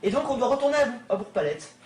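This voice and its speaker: A young man hesitantly talks over a video game, pausing on fillers as he goes. he sounds distant and roomy, caught on a phone with no mic, and game music plays faintly behind him. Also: very high-pitched, big pitch swings, a little mellow. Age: young adult. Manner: hesitant, filler-heavy narration. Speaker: gaming video maker. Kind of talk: early gaming commentary video. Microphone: phone, no microphone. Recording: old roomy recording with music.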